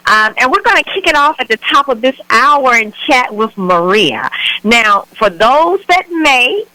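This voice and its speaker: A woman's voice: high voice, sing-song, a little slow, sharp tone, no echo, poor audio. A woman hosting an afternoon radio talk show, chatty and enthusiastic as she interviews a guest. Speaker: radio host. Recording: radio broadcast, dry.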